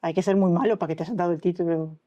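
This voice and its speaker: Fast-talking Uruguayan woman, warm tone. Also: on the low side, highs cut off. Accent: Uruguayan Spanish. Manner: animated storytelling, acts out quoted dialogue. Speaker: anesthesiologist guest. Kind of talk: conversational interview.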